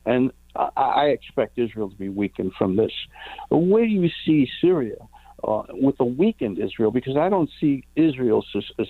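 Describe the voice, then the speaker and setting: A man's voice: mid-range voice, no echo. A man calling in to a radio talk show, hesitant, restarting his sentences often. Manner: hesitant, many restarts and fillers. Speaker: caller. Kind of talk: call-in question on talk radio. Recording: tinny phone line.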